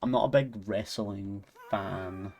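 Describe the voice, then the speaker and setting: Scottish streamer, low-ish voice, rambling chatter, faint keyboard typing behind. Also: male voice, slow, darkish tone, slight background noise. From Scotland. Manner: chatty and rambling, laughs often. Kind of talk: casual chat while gaming and reading chat.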